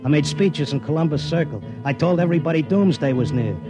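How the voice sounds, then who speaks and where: An actor playing a brash, slangy racetrack tipster who also narrates, in a 1950s radio drama. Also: male voice, on the high side, lively intonation, fast talker, over music, lightly acted. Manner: streetwise and slangy. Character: brash fast-talking racetrack tipster, middle-aged man. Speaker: narrator and lead.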